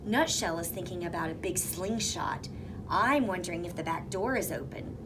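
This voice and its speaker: A woman at an elementary school reading a picture book aloud, expressive and dropping to a whisper for suspense. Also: on the low side, normal speed. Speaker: reader. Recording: recording with clear background noise.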